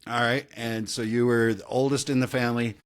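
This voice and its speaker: A man's voice: medium pitch, level tone, fairly quick, clean tone. Male podcast host, chatty, roundabout questioner. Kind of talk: casual interview questions.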